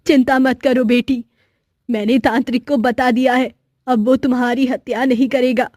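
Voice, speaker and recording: A woman voicing a secretive old village woman in a cartoon story, in a measured, guarded way. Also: high-pitched, steady tone, a little mellow, dry recording, studio quality. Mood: guarded, reassuring. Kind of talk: Hindi cartoon story voice acting.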